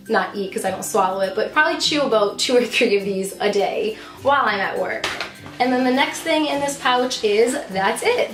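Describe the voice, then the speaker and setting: A woman with a slightly hoarse voice from too much talking, chatting casually and emphatically to camera as a teacher and vlogger showing her things. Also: medium pitch, roomy sound. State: losing voice from talking. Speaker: vlogger, fifth grade teacher. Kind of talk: casual chatty product show-and-tell to camera.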